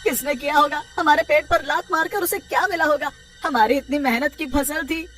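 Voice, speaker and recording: Woman doing greedy sly farmer guy for a kids cartoon, theatrical, highish voice, music behind. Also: moderate pace, fairly bright tone. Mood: mock wailing. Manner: theatrical, exclamatory. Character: greedy scheming male farmer, gloating, falsely wailing. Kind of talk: children's storybook cartoon dialogue.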